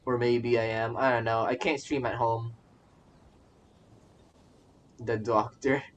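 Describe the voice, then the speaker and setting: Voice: mid-range voice, level tone, slow pace, slight background noise. A young adult streamer giving casual, rambling gaming commentary and often repeating phrases. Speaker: streamer, virtual YouTuber. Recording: voice over game music. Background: soft game music.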